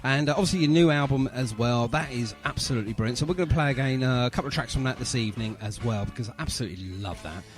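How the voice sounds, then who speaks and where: A man's voice: mid-range voice, racing, hardly any pauses, soft background music. A man hosting a casual, chatty radio interview, effusive with praise and full of jokey banter. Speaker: radio host.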